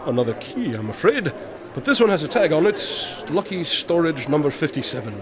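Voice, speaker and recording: Radio drama detective, played by a man: unflappable, eccentric, fairly high voice, formal and exact, busy crowd ambience. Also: very animated, fairly quick. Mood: absent-minded. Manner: precise, formal, absent-minded. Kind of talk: audio drama dialogue.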